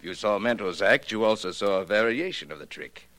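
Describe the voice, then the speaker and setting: Wary old craftsman, low expressive voice. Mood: guarded, explaining. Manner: formal, measured, guarded. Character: proud, wary old male illusion builder. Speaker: actor in a supporting part. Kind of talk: 1940s radio mystery acting.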